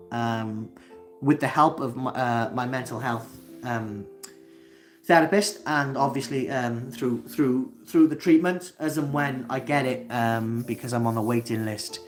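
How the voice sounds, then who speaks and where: Earnest Welsh man, halting and heartfelt. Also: mid-range voice, soft background music. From Wales. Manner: earnest, halting, many fillers and restarts. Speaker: channel host. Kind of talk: solo livestream talk. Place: indoors, seated facing camera.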